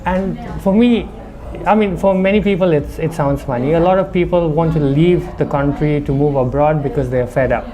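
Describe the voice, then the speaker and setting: A man's voice: a little high, moderate pace, warm tone. A man from India with a slight accent picked up abroad, answering interview questions about running his restaurant in a reflective, chatty way. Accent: slight foreign-tinged Indian English. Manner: reflective, talks in short run-on phrases with "right?". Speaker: restaurant owner.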